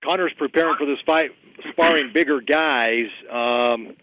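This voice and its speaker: A man's voice: mid-range voice, unhurried, bright, forceful tone, dry recording, poor audio. Rambling male reporter, very dry sound. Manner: rambling, polite, tentative. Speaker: sports reporter asking questions. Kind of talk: unscripted press questioning. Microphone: conference call.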